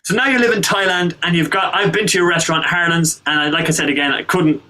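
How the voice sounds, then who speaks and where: Bright, high-voiced Irish man host. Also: steady tone, fast, low-quality recording. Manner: easygoing, laughs often, chatty questioner. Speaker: podcast host. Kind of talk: casual podcast interview.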